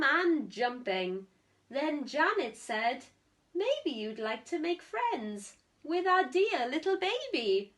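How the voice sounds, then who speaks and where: A woman reading a rhyming picture book aloud to children in a theatrical, deliberate way with strong emphasis. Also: high voice, fairly bright tone. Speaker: storytime reader, teacher.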